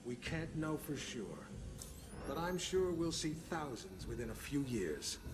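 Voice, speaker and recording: An actor playing an embittered, proud older intelligence chief in a video game cutscene, speaking with deliberate, sardonic authority. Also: male voice, mid-range voice, very animated, background noise. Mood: grave, explanatory.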